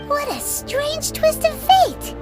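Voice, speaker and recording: Tiny squeaky fairy girl for a game, super high and bouncy, cheeky little sidekick, music behind. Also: wildly swooping pitch, slow pace, heavily acted. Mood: surprised, curious. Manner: childlike, refers to herself by name. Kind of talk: English game dub acting. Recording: background music under the voice.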